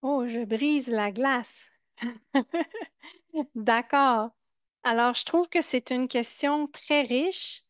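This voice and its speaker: Slow-speaking woman panelist, dry sound. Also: mid-range voice, no echo. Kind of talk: answering on a webinar panel.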